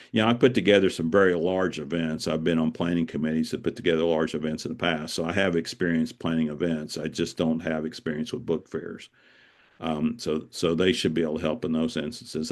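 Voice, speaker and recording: A man speaking conversationally as an interview guest, explaining things and repeating words as he thinks. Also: sits low.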